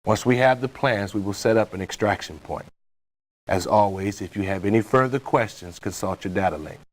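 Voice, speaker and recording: A stern middle-aged male colonel, played by an actor in a game briefing. His voice is fairly low, and he speaks formally and with measured authority. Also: natural voice, poor audio. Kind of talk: video game mission briefing.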